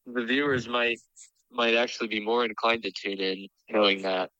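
A man's voice: mid-range voice, fairly even intonation, moderate pace, pushed and bright, clean tone, no echo. A man chatting casually, heard through slightly dull, band-limited audio. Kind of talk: casual chat.

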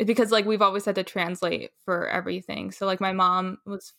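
A young woman chats as a podcast guest, wandering through her thoughts with plenty of fillers. Her voice sits at a middling pitch. The recording is dry, with no room sound. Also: steady tone, fairly quick, creaky, bright, forceful tone, no echo. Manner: rambling, many "like" fillers. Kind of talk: casual conversation.

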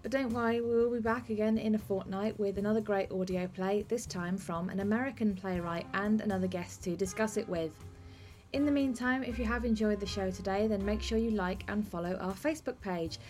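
A woman's voice: mid-range voice, fairly quick, a little mellow, faint music behind. A British woman hosting a podcast, talking conversationally about theatre writing as a playwright. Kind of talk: conversational interview and discussion.

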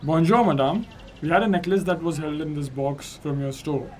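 A man's voice: on the high side, moderate pace, close to an everyday voice. A young man playing a loving but exasperated, practical husband in an amateur radio drama, earnest and plain-spoken. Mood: resigned, polite. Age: young adult.

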